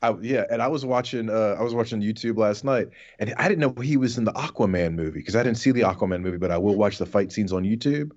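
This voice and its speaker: Low-voiced sardonic rambling man, slightly muffled. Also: quick pace, hardly any pauses, darkish tone. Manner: rambling, sardonic, sweary, stammers and repeats words. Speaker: podcast co-host. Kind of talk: casual comic ranting chat.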